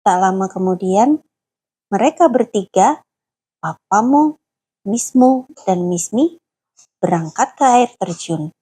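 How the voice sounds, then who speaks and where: Woman storyteller, children's tale, gentle, slow, husky. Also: mid-range voice, animated. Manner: gentle, explains words to a child.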